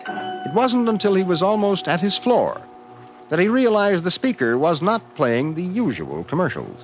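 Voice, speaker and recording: Grave radio-play storyteller, very wide swings in pitch, muffled vintage sound over music. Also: male voice, very animated, normal speed. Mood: grave, suspenseful. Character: grave storytelling narrator of a suspenseful sci-fi tale. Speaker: narrator. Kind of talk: 1950s radio drama narration. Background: dramatic music.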